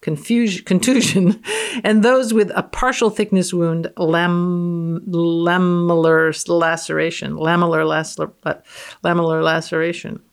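A woman's voice: fairly low pitch, little pitch movement, unhurried, clear, resonant tone. A woman guesting on a podcast as a physicist, reading aloud and commenting casually in an offhand, matter-of-fact way.

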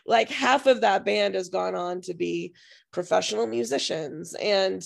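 Hoarse woman with a gravelly voice. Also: mid-range voice, a little slow. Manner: rambling, self-interrupting storytelling. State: hoarse, losing her voice. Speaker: podcast co-host and music teacher. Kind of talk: casual podcast chat.

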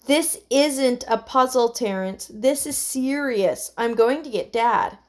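A woman's voice: a little high, slow. A woman voicing a sensible, serious boy in a children's story, firm and impatient with foolishness.

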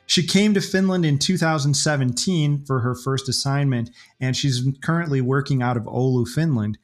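A man hosting a podcast interview, introducing his guest warmly with a few ums. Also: mid-range voice, normal speed, soft background music. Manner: friendly introductions, some hesitation fillers. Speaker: podcast host.

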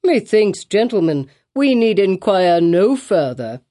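A woman's voice: medium pitch, big pitch swings, slow, dark tone. A woman doing a stern old Puritan governor.

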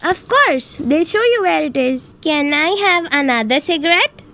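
Very high-voiced woman on a bus acted. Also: animated, normal speed, pushed and bright, slight background noise, heavily acted. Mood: matter-of-fact. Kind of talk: audio play acting.

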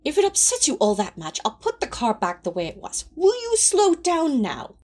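A woman's voice: sits high, wildly swooping pitch, normal speed, warm tone. A woman playing a prim, anxious male angel who scolds in exasperation, in a fan audio drama. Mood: exasperated.

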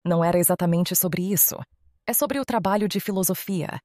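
A woman's voice: on the low side, a little fast, a little mellow, no room sound, clean studio sound, natural voice. A woman with a Brazilian accent playing a determined, direct teenage schoolgirl in an audio drama. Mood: determined, casual. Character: determined teenage schoolgirl running her own party.

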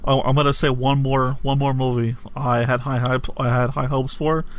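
A man's voice: medium pitch, little pitch movement, normal speed. A man talks as a podcast co-host in an informal, slangy way. He gives his opinions loosely and conversationally, dropping the odd swear word. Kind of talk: casual chat, film opinions.

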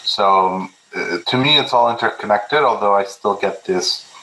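Youngish guy from peru, kinda low voice, earnest, stumbles and repeats words, roomy echo. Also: normal speed, bright, forceful tone, a little hiss or hum. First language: Spanish. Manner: earnest, repeats words when starting thoughts. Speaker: guest researcher. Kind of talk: casual interview talk. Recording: echoey room.

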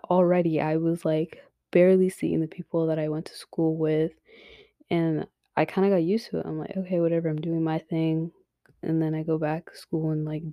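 A young woman gives frank, swear-laced advice as a podcast host, often slipping into a whisper. Her voice is low and mellow, and it sounds worn as if she is losing it. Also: no echo, studio quality. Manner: frank, swears, many fillers, drops to a whisper. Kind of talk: casual advice monologue.

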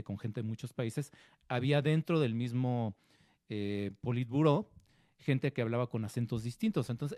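A man's voice: medium pitch, warm tone, no echo. A man co-hosting a studio show, chatting about a film and acting out small quotes. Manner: conversational, acts out quotes. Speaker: co-host film critic. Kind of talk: casual film review chat.

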